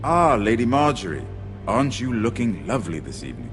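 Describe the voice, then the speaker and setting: Casting: smooth-talking wry nobleman, animated intonation, game drama with music behind. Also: lowish voice, fairly quick. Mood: wry charm. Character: urbane, wry lord at a royal court. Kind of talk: dramatic video game acting.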